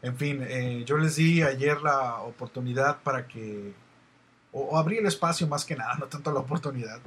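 A man's voice: mid-range voice, normal speed, a bit bright, slightly rough. A man with a Mexican accent hosting an online radio show, chatty and meandering as he reads listener messages. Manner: chatty, meandering, many fillers. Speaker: radio host.

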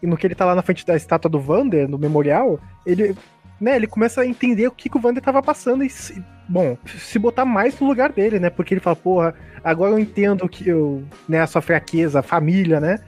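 Rapid-fire man, mid-pitched, heavy on fillers, casual podcast panelist, light music behind. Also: a little mellow. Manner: rapid, explains with many fillers. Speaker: podcast co-host. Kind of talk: casual group chat.

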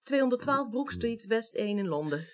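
An actress playing an evasive, flustered young woman suspect in a radio drama, halting and repeating words defensively. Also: fairly high pitch, animated, lightly acted. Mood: nervous, cooperative. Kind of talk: 1980s Dutch radio drama acting.

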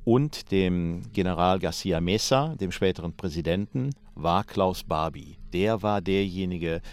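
A man giving spontaneous expert commentary as in an interview, explaining in halting, emphatic phrases. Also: deep voice, darkish tone.

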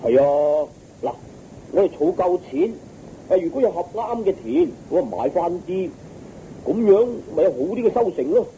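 An actor playing an earnest, dutiful young farmer son in a radio drama, speaking respectfully with a Hong Kong Cantonese accent. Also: male voice, sits high, lively intonation, normal speed, warm tone. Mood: hopeful, placating. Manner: eager, respectful, placating. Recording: muffled radio recording, faint noise.

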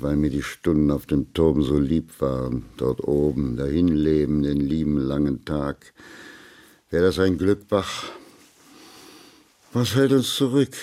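A man's voice: a bass voice, mellow. An actor in a German radio drama playing a weary, troubled male trumpeter, brooding and melancholy as he muses. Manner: slow, brooding, musing.

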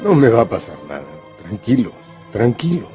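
A man playing an older judge, a calm and dismissive family patriarch, in a radio drama. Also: medium pitch, normal speed, faint music behind.

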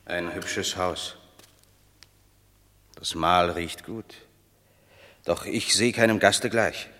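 An actor playing a proud, banished Roman war hero who declaims verse in a commanding, bitter manner in a radio play. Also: male voice, sits low, extremely slow, sharp tone, full character voice. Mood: bitter, brooding. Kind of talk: German radio drama, stage verse.

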